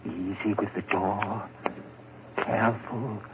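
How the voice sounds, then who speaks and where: A man playing a tormented murderer in an intense, halting 1940s radio horror monologue. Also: fairly low pitch, fairly even intonation. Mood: hushed dread. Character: tormented murderer creeping toward his sleeping male companion. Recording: noisy, dull 1940s radio recording.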